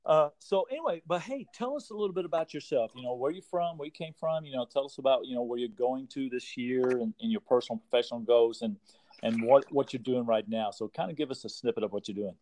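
Host: man from Texas, quick, laid-back banter, mid pitch. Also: a little mellow, poor audio. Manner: casual, upbeat, filler-heavy. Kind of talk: casual interview chat.

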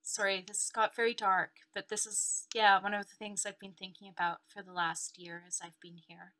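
A woman's voice: medium pitch, level tone, normal speed, sharp tone. A woman from Canada, a guest talking reflectively over a video call, with many "like"s and "um"s. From Ontario, Canada. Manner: reflective, chatty with many likes and ums. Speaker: guest, dancer and researcher. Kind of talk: casual interview chat.

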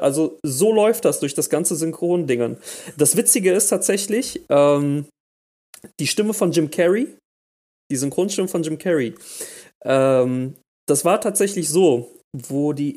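A man on a podcast chats away, animated and quick to stammer as his thoughts run ahead. His voice sits a little high for a man. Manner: animated, restarts and stammers when excited. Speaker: podcast co-host. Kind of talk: casual chat.

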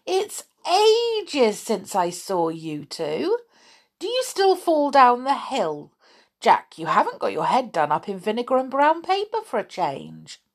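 A sweet, excitable little fairy girl chirps high and breathless, as a woman reading a storybook puts her on. Her voice leaps up and down with delight. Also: unhurried, heavily acted.

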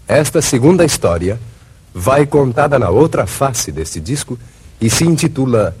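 A man's voice: fairly low pitch, darkish tone, slightly rough. A man narrating a 1950s radio drama from a script in a theatrical, declamatory manner.

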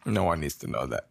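A man from Romania co-hosting a casual chat, with a rough voice today, talking haltingly with fillers and a self-deprecating streak. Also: sits low, fairly bright tone, dry recording. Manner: halting, many fillers and restarts, laughs often. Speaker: podcast co-host.

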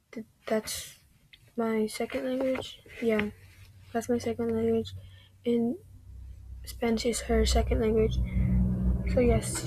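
A woman's voice: on the high side, dark tone, slightly rough, dry recording, rough recording. A young woman hosting a podcast, recapping an interview in a chatty, casual way with many fillers and some whispery stretches. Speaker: podcast host.

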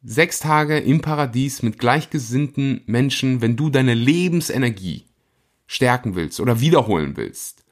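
A man of middle pitch hosting his own podcast. He talks casually and with plenty of energy, pushing ahead and then slowing down to stress a point. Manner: energetic, enthusiastic, speeds up and slows for emphasis. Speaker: podcast host.

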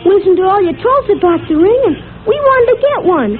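A woman's voice: very high-pitched, very animated, fairly quick, phone-quality sound, a little hiss or hum. A woman playing an eager, earnest young boy in a 1940s radio commercial, full of gee-whiz exclaiming, on an old broadcast recording. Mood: upset, pleading.

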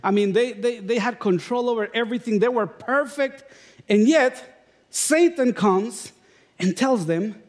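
A middle-aged man from Honduras with a Honduran Spanish accent, preaching a sermon in a relaxed storytelling way with self-deprecating humor. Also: very high-pitched, measured pace. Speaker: guest preacher. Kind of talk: sermon with personal stories.

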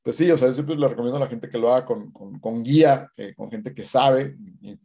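Guy instructor, soft dark tone, stops and restarts a lot. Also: mid-range voice, a little fast, gravelly. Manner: hesitant, many restarts and fillers. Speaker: certified cold-exposure instructor. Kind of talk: conversational interview answer.

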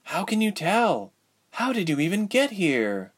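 A male narrator puts on a young woman held captive in an adventure story. The voice is lifted high and moves around a lot, with an earnest, dry, bitter edge. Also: huge pitch swings, a little slow, full character voice. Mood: puzzled, anxious.

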